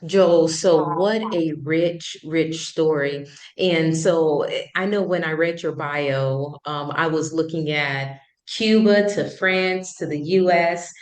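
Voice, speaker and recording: A woman's voice: low voice, slow, hardly any pauses. A woman hosting a podcast, reading a short promo and then introducing and questioning her guest in loose, filler-filled speech. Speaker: podcast host. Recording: echoey room.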